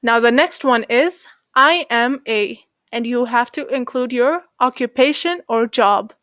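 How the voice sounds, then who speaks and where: A woman narrating an English lesson as the channel host, slowing down to read example sentences. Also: on the high side, a bit bright, dry recording, clean studio sound. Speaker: English teacher.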